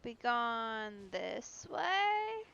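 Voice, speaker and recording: Fairly high-voiced woman game streamer. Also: sing-song, extremely slow, gravelly, fairly bright tone, lo-fi sound, slight background noise. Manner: musing, thinking aloud, questioning. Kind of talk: casual live gameplay commentary.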